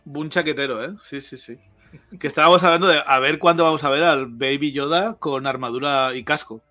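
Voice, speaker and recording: A man's voice: fairly high pitch, brisk, bright, forceful tone, no echo, faint music behind. A man, co-hosting a casual podcast chat and making playful, jokey asides. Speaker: podcast co-host.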